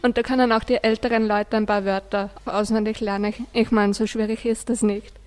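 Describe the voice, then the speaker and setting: A woman's voice: fairly high pitch, level tone, fairly quick. A female teenage student, giving her view in a radio discussion. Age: teens. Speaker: student radio presenter and panelist. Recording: long hall-like reverb.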